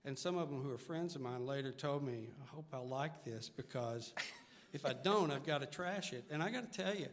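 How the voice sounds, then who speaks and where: A man's voice: mid-range voice, a little fast. A middle-aged or older man, a singer-songwriter, chatting casually as an interview guest. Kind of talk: casual live interview chat. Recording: live hall reverb, faint music.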